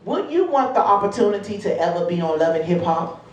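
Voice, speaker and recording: A woman hosts a loose, chatty interview with brash jokes and plenty of swearing. Her pitch moves in lively swings. The sound is heavily echoed and a little distant from the mic. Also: fairly quick, a little hiss or hum. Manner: brash, joking, profane. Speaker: talk show host. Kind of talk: casual chat interview.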